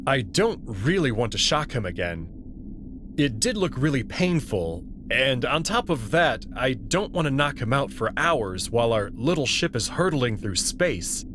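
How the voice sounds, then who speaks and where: Man, visual novel reader, first person narration, lively pitch, measured delivery. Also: background noise.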